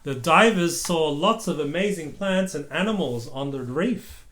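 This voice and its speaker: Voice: medium pitch, animated, unhurried, a bit bright. An adult reading a children's picture book aloud, chatting and prompting a small child with simple questions.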